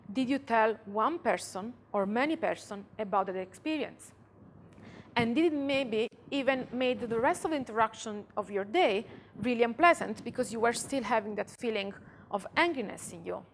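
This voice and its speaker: A woman from Italy with an Italian accent, giving a conference talk and guiding the audience through a calm visualization. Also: on the high side, a little hiss or hum. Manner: calm, slow guiding voice, speaks to listeners directly. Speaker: conference speaker. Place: conference room.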